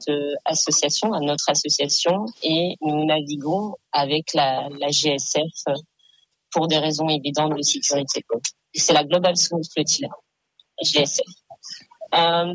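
A woman activist speaking earnestly in a studio radio interview, halting often with fillers. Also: low voice, dry recording, muffled recording. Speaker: activist guest.

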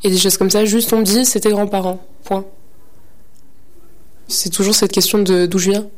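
A woman's voice: mid-range voice, fairly even intonation, moderate pace. A seventeen-year-old girl in an interview, speaking hesitantly with many fillers as she reflects. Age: teens. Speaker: high school student interviewee. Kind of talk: reflective testimony.

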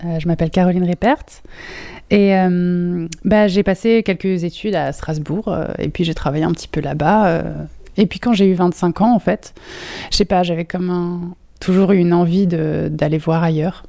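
A woman's voice: lowish voice, steady tone, moderate pace, creaky, mellow. A young woman from Alsace recalling her life casually as an interview guest, hesitantly searching for words. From Alsace, France. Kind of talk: casual personal recollection.

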